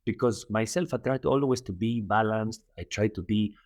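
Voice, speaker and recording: Low-voiced Greek-accented man, earnest. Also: moderate pace, darkish tone, no echo, clean studio sound. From Greece. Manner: earnest, repeats phrases, many fillers. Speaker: guest, holistic coach. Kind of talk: interview answers.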